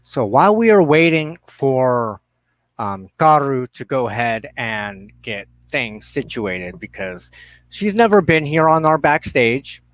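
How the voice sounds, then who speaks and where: A man hosting a livestream, making introductions and announcements in a halting, deliberate way with pauses mid-phrase. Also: medium pitch, very animated, a bit bright, no echo, rough recording. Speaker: host of a religious broadcast show.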